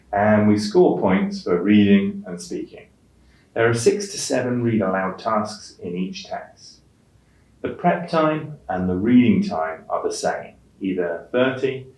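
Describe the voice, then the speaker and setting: A man teaching an English test-prep lesson in a measured, clearly enunciated way, stressing key words. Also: low voice, a little mellow. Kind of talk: instructional lesson narration with read-aloud examples. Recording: roomy echo, distant microphone.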